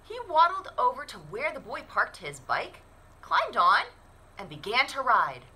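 Woman, children's storyteller, very bright voice, lively pitch, unhurried deliberate delivery. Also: breathy edge. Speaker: library storyteller reading a picture book. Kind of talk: animated children's read-aloud.

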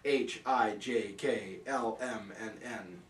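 A man's voice: lowish voice, slow pace, roomy sound, recorded from a distance. A man reading a rhyming bedtime story parody aloud in a theatrical, singsong manner.

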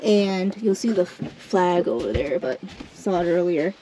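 A woman's voice: fairly low pitch, animated, normal speed, mellow, a little hiss or hum, rough recording. A woman chatting casually as a vlogger, rambling and trailing off mid-sentence, outdoors on a boat on a lake, recorded on an action camera. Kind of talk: casual vlog narration. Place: outdoors on a boat on a lake, under a mesh tarp.

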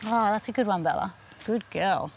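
A woman outdoors in an orchard, calling and praising a dog in a high coaxing voice. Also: normal speed, slight background noise. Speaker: truffle farmer with dog. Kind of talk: talking to a dog.

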